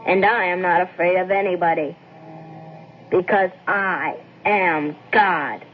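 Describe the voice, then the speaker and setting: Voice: medium pitch, fairly even intonation, slow pace, narrow, tinny audio, noisy background, natural voice. An actor playing a boastful, domineering small boy in a radio drama. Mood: imperious, boastful. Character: boastful, domineering small boy who thinks himself God. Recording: thin, band-limited old radio drama with music.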